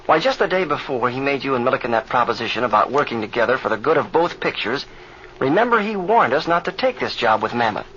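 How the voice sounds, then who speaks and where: A man's voice: mid-range voice, very animated, fast talker, fairly bright tone. A man playing an earnest, eager young pilot in an old radio drama, on a muffled, hissy recording. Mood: earnest concern.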